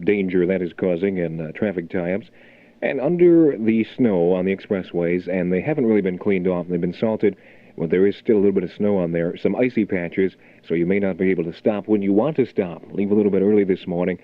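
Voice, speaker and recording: Deep-voiced radio DJ man, thin lo-fi sound. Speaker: radio DJ, morning show host. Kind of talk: album rock radio DJ patter with local announcements. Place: radio studio. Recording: muffled phone-like 1980 radio aircheck, music behind. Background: music.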